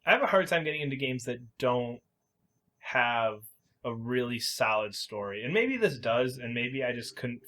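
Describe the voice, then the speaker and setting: A man with an average-pitched voice chats as a podcast co-host. His delivery is informal and hedging, as he thinks out loud about games in a casual, conversational style. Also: lively intonation, normal speed.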